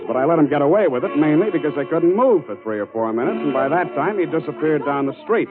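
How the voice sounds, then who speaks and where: Dull-sounding old radio private eye, deadpan man acting. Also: on the high side, natural voice. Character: wry, cool male private detective. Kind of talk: 1940s radio detective acting, narrating and in dialogue.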